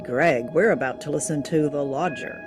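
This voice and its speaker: A woman presents a show as its host, reading a scripted introduction. Clear music plays behind her voice. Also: sits low, normal speed.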